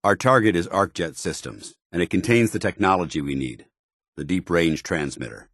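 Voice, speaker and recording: An American actor playing a stern, disciplined armored soldier in a video game, speaking in a formal, clipped, commanding way. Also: male voice, low-pitched, expressive, moderate pace, a bit bright, dry recording, studio quality, heavily acted. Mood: formal, authoritative. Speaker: video game companion character. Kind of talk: scripted game dialogue and combat barks.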